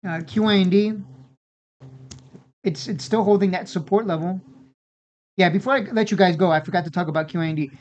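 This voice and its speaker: Casual trading-channel host, mid-pitched, informal and repetitive, on slightly lo-fi audio. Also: a little fast, long pauses, darkish tone. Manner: informal, repeats and restarts phrases. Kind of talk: casual unscripted market commentary.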